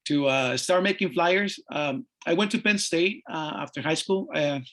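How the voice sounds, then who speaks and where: A middle-aged man who grew up in Mexico speaks at an arts panel, his voice sitting a little high for a man. He talks haltingly, stopping often for fillers and restarting his sentences as he recalls how he began. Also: a bit bright. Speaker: panelist, artist. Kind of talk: panel discussion, personal story.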